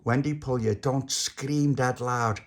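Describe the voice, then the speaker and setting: A man's voice: medium pitch, normal speed, gravelly. A man as a bossy little boy ringleader. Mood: persuasive. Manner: commanding, persuasive, urgent.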